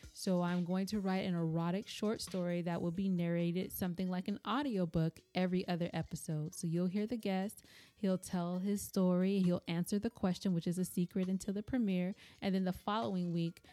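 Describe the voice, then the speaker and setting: A woman with a fairly low voice co-hosts a casual podcast. She is playful and teasing, chatting excitedly as she banters and shares announcements. Music plays clearly under her voice. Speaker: podcast co-host.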